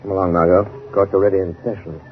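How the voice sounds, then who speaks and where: An actor playing a shrewd, assured gentleman crime-fighter in a 1930s radio drama, speaking in a measured, articulate, grave way. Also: low-pitched, little pitch movement, lightly acted. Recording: noisy, narrow-band 1930s radio broadcast.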